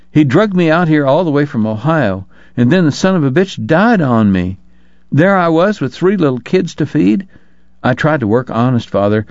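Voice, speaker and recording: A male narrator becomes a young woman hardened by frontier life, confessing in a Western novel. The voice is lifted high and sounds defiant yet pleading. The recording is dry and slightly muffled. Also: darkish tone, no echo. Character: hard-bitten young frontier women confessing sins.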